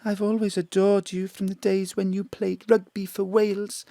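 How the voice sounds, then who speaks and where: An actress playing a seductive, melodramatic gangster's widow in a noir-parody audio drama, pleading and flirtatious. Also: female voice, mid-range voice, little pitch movement, normal speed, gravelly, dark tone, no room sound, close to an everyday voice.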